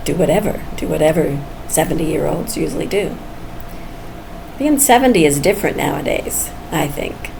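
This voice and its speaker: A woman's voice: deep voice, normal speed, vocal fry. A woman of almost seventy talking casually and candidly in a personal vlog, musing as she goes. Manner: candid, musing, chuckles often. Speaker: vlogger. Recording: noisy background ambience.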